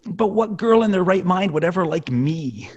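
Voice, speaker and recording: An insecure sitcom schoolboy, played by a man, with a very husky, rough voice on the high side. He is needy and self-deprecating, and his pitch moves around a lot. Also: expressive, normal speed, a little mellow, no room sound, lo-fi sound. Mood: glum. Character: insecure, self-pitying nerdy schoolboy pleading to be liked. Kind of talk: amateur table read of a TV sitcom.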